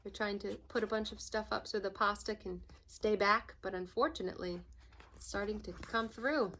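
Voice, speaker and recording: A woman's voice: mid-range voice, fairly even intonation, normal speed, fairly bright tone, a little hiss or hum. A woman reading a children's storybook aloud like a teacher, with dramatic emphasis and asides to young listeners.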